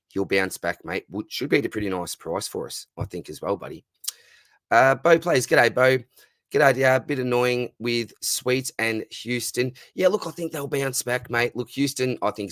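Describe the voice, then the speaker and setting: Husky Australian man hosting a chatty stream. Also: lowish voice, fairly bright tone, dry recording. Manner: friendly, matey, calls viewers mate and buddy. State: husky, voice going. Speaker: fantasy football live stream host. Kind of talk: casual live stream chat reading viewer comments.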